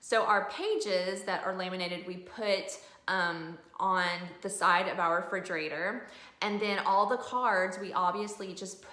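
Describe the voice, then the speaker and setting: A woman's voice: mid-range voice, a bit bright. A middle-aged woman giving a casual, explanatory video review as a homeschooling mom. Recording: roomy indoor echo.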